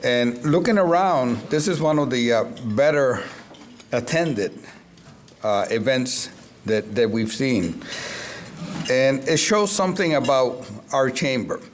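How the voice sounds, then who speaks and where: A man's voice: mid-range voice, expressive, slow pace, a little hiss or hum. A man from Puerto Rico, a native Spanish speaker, giving an upbeat, proud speech to a business audience and laughing between phrases. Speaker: event sponsor speaker. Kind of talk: speech at a public event.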